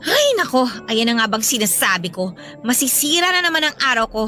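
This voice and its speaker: A woman playing a young student, a dutiful but resentful older sister, expressive and conversational in a Filipino radio drama. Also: fairly high pitch, fairly quick, over loud music. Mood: irritated.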